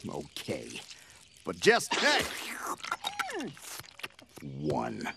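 A man's voice: a bass voice, wildly swooping pitch, very slow, very noisy, exaggerated voice. A man voicing a dry, reluctant, put-upon big ape in a cartoon. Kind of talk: cartoon voice acting.

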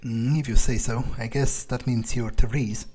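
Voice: mid-range voice, fairly even intonation, moderate pace, dark tone, husky. A gamer doing in-character roleplay commentary in a casual, hesitant mutter. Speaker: gameplay streamer playing in character. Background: game music.

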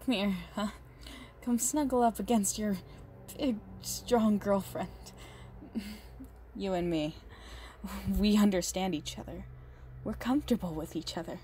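A woman playing a big, muscular goth girlfriend, possessive and unhinged yet doting, in an intimate, halting ASMR roleplay monologue. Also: medium pitch, mellow, slight background noise, lightly acted. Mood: cosy, confiding. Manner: slow, halting, doting, menacing under sweetness.

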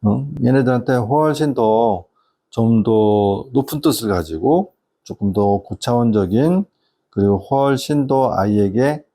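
A middle-aged man giving an informal, earnest explanation to parents as an educational consultant, wandering through his points with hesitant pauses. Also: lowish voice, vocal fry, dark tone, husky. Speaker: educational consultant and channel host.

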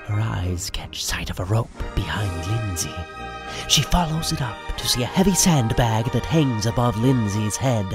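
Male narrator, radio play, lively theatrical delivery, music under the voice. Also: lowish voice, normal speed.

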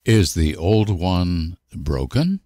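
A man reading short example sentences for language learners with deliberate, careful enunciation. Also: low voice, big pitch swings, warm tone, no echo, clean studio sound. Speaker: language teacher.

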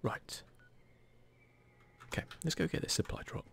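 A man softly giving casual ASMR commentary over a video game, often slipping into a whisper, with a self-deprecating manner. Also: unhurried. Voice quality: soft-spoken, often whispery. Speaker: gaming video host.

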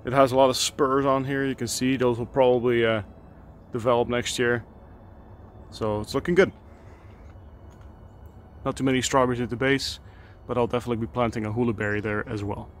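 A man's voice: medium pitch, flat delivery, brisk, a little hiss or hum. A man giving a casual, enthusiastic tour of his home garden outdoors, chatting with fillers as he films on a handheld camera. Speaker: gardening channel host.

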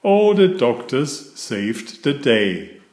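Male children's storyteller, emphatic and lively in pitch, unhurried, recorded in an echoey room. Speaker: children's book reader. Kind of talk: expressive read-aloud storytelling for young children.

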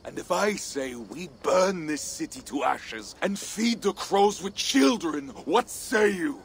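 An actor playing a menacing, vengeful Viking warlord in a video game, with gravelly, theatrical oratory. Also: male voice, high voice, expressive, slow, slight background noise, heavily acted. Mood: mocking, menacing. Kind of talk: dramatic video game cutscene acting.

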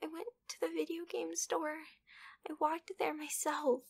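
A bratty, possessive young girlfriend, acted by a woman for an ASMR roleplay, speaks in a high, breathy voice that often slips toward a whisper. She is pouty and demanding, drawing her words out slowly and haltingly, with a creaky edge. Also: little pitch movement, heavily acted. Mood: hurt, tearful. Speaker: voice actress in a roleplay.

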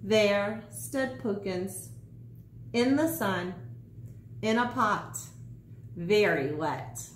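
A woman's voice: medium pitch, expressive, drawn-out words, long pauses, reverberant, a little hiss or hum. A woman in her forties, a teacher, reading a children's picture book aloud in a small room.

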